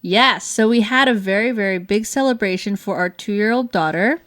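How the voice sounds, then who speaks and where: A woman's voice: medium pitch, normal speed, fairly bright tone. Upbeat woman hosting a chatty vlog. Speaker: show host. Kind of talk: chatty co-hosted family vlog.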